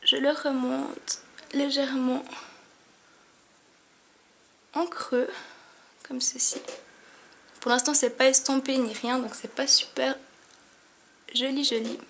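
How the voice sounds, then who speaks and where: A woman's voice: high voice, level tone, measured pace, creaky, pauses often, a bit bright, a little hiss or hum. A young woman with a cold giving a chatty makeup tutorial at home, with many fillers. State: has a cold, sniffling. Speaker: beauty vlogger. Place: at home, in front of a mirror.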